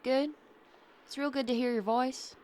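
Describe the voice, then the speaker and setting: A teenage girl in an audio drama. Her voice is fairly high, and she is dry-witted and a little hesitant, speaking naturally. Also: fairly even intonation, measured pace, a little mellow, clear, resonant tone. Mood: hopeful, hesitant. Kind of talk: naturalistic radio play acting.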